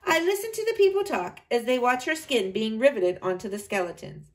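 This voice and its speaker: A woman voicing a confident, inventive male engineer in a read-aloud storybook, in a calm, measured reading. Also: on the high side, recorded from a distance, close to an everyday voice.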